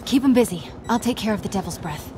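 A woman's voice: medium pitch, animated, quick pace, lightly acted. Determined young woman reporter, acted, amid noise. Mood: tense, urgent. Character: young woman reporter, stubborn, determined, hushed while sneaking. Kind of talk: video game voice acting.

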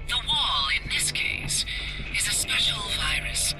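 Slow, whispery, menacing female overseer. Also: mid-range voice, sing-song, over loud music, low-quality recording. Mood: cold menace. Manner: slow, deliberate, theatrical delivery. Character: menacing woman overseer taunting infected captives. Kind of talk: video game voice acting.

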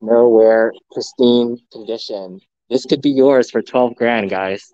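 A man livestreaming a walkthrough of a pinball showroom, excitedly talking to his viewers, recorded on a phone indoors. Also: medium pitch, slow pace, dry recording, lo-fi sound. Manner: excited, enthusiastic, addressing viewers. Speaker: vlogger, live streamer. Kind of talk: live walkthrough commentary. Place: large indoor arcade showroom. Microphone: phone camera.

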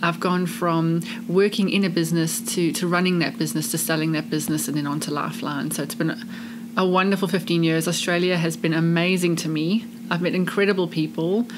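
A South African woman with a soft English-speaking South African accent talks through her career in a relaxed interview. Her voice sits on the low side, and a steady hiss of noise runs under the recording. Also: a little fast, few pauses. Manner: warm, earnest, expansive storytelling. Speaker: charity CEO, guest. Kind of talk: casual interview chat.

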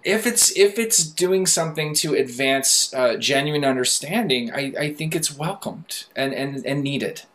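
An American man who teaches, speaking haltingly in a panel discussion on a video call. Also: fairly high pitch, lively intonation, a bit bright.

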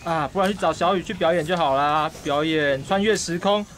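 A man's voice: fairly high pitch, fairly quick, lightly acted. A man playing a mocking, cocky teenage schoolboy bully in a radio drama. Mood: taunting. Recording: music behind the voice.

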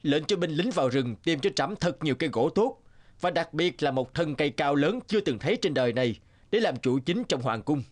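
A man's voice: fairly high pitch, animated, a little fast. An actor playing a pompous, vain king in a cartoon, commanding and grandiose. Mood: imperious.

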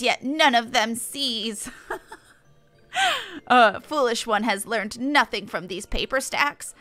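Lady doing a tiny flighty forest sprite from a game, bright and earnest, dry close sound. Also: fairly high pitch, no echo. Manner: odd third-person speech, earnest. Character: small fretful forest sylph creature, earnest, flighty. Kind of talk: reading game dialogue in character.

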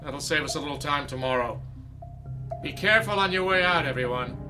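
A man's voice: fairly high pitch, expressive, brisk, music in the background, natural voice. A man acting in a radio drama as a stern, exasperated theatre director, commanding and sarcastic. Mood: weary, sarcastic. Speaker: actor.

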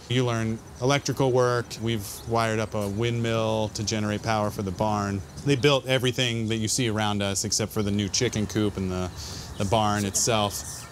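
A man's voice: lowish voice, slight background noise. A man in his thirties, a school farm manager, explaining the farm in an easygoing interview outdoors.

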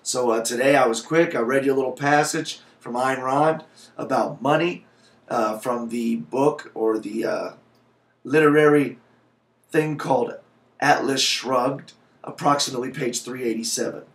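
A man's voice: medium pitch, unhurried. A man in his thirties speaking as a video blogger into a webcam microphone in a small home office. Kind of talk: casual vlog talk with passage read aloud. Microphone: webcam microphone at desk distance. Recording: roomy, echoey webcam sound.

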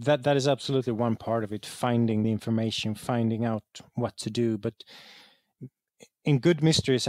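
A man giving conversational explanations as an interview guest, halting and correcting himself as he searches for words. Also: mid-range voice, darkish tone, no echo, studio quality. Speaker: guest, game writer.

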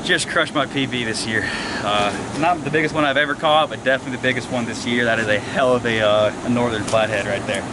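A young man in his twenties filming a fishing vlog outdoors at night, talking excitedly and out of breath in folksy slang. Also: medium pitch. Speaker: fishing vlogger. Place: riverbank by a dam at night, outdoors. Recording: outdoor recording with loud water noise. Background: rushing water from the dam.

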